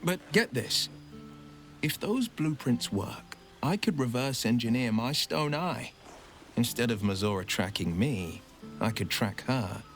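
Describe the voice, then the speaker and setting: Theatrical heroic monster hunter. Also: male voice, mid-range voice, expressive, measured pace, faint music behind. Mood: determined, rousing. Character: heroic adventurer man, battle-seasoned monster hunter, warm comrade. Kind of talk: video game character dialogue.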